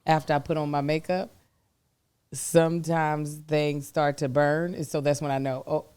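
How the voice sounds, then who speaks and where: A woman podcast co-host talking casually with a hoarse voice, candid and exasperated. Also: low voice, little pitch movement, normal speed, darkish tone, no room sound. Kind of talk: casual chat.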